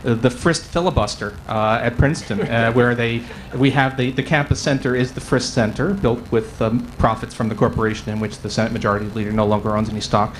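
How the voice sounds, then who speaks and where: A middle-aged man on a panel, wry and quick, with many ums, speaking into a table mic in a large tent. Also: mid-range voice, steady tone, slight background noise, rough recording. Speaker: panelist economist. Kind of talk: panel discussion answers. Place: large tent at an outdoor event. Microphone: table mic on a stand.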